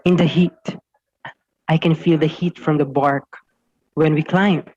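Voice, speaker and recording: A woman playing a young ant learning the trail, speaking in measured, deliberate phrases in a dramatic reading. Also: deep voice, fairly even intonation, a little mellow, husky, highs cut off. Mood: earnest. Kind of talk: dramatic reading of a stage play.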